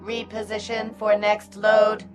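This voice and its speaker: Woman as flat, emotionless factory computer. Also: medium pitch, takes it slowly, over music, natural voice. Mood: impassive. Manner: mechanical, clipped, emotionless. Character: impassive female factory computer announcing operations. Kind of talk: radio drama acting.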